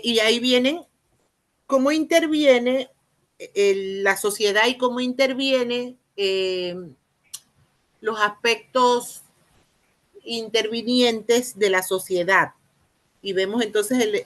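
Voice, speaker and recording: A woman giving a spoken lecture on education, explaining slowly with many fillers and restarts and addressing her listeners formally. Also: mid-range voice, a bit bright. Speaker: university lecturer.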